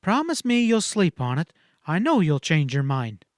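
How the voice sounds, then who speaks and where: A man voicing a scornful, quick-tempered young frontier woman in a western audiobook, speaking in emphatic, cutting outbursts. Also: fairly high pitch, big pitch swings, no echo, studio quality, voice pushed up. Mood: contemptuous, urgent.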